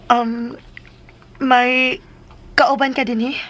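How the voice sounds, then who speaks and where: An actress playing a worried, respectful young daughter in a radio drama, earnest and plaintive. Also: female voice, fairly high pitch, little pitch movement, extremely slow, fairly bright tone. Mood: hesitant.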